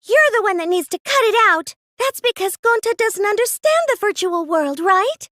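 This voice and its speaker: Nerdy teenage girl, extremely high voice, lively swooping intonation, earnest, hesitant, video game character. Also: moderate pace, no echo, clean studio sound. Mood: indignant, insistent. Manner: hesitant, earnest, stammers when flustered. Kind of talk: English game dub dialogue.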